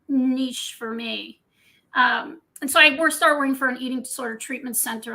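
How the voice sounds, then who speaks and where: Bright, high-voiced older woman telling her story. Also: low-quality recording. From Scotland. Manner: candid, chatty storytelling. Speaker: guest dietitian. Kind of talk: casual interview chat.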